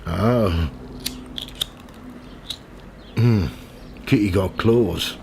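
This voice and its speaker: A man's voice: fairly low pitch, takes it slowly, vocal fry, darkish tone, slight background noise. A man acting a cynical, smug tabloid reporter in a radio play, with a sardonic, goading manner. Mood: mocking. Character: cynical, smug male tabloid reporter narrating his own investigation. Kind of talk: radio play acting with first-person narration.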